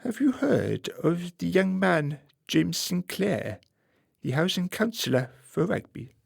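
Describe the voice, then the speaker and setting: A man acting a suave, calculating political fixer in a radio play, speaking formally and deliberately with quiet menace. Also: high voice, sing-song, creaky, husky, no echo, studio quality, full character voice. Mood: controlling, sly. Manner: formal, measured, deliberate phrasing.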